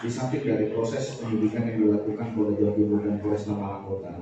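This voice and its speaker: A man speaking as a police official at a press briefing, in a measured official tone with frequent hesitations. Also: on the low side, flat delivery, crowd noise. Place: large hall at a press conference. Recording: echoey hall from far off, dull lo-fi.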